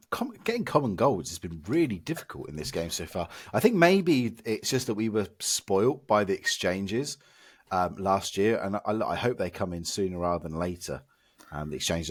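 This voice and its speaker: A low-pitched man with a hoarse voice he is losing to illness. He rants with exasperated emphasis on a close, dry podcast mic. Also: dry recording. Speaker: podcast co-host. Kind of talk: casual podcast chat.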